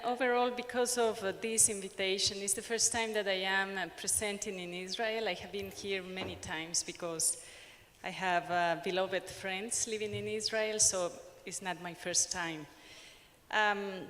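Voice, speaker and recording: A woman with an Ecuadorian accent speaks slowly and deliberately at a conference. She pauses often and restarts her sentences. Her voice carries a long reverb from a large lecture hall. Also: medium pitch, fairly bright tone. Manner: halting, with frequent pauses and restarts. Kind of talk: academic conference talk.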